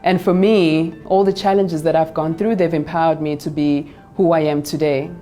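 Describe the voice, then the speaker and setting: A woman in her thirties from South Africa, a business speaker telling her story in a calm, measured, motivational way in a studio. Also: deep voice, faint music behind. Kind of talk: personal story to camera. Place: studio with pink backdrop.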